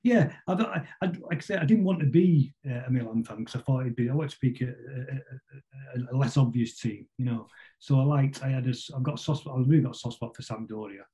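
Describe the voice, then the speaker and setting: A middle-aged man with a Manchester accent, chatting casually as an interview guest in a rambling, halting way full of tag questions. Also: mid-range voice, warm tone. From Manchester, England. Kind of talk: casual conversational football chat.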